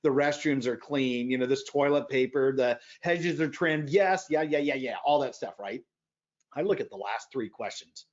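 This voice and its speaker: A man speaking as a podcast guest in animated conversation, emphatic, with loud bursts and quieter asides. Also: mid-range voice, moderate pace, dull audio.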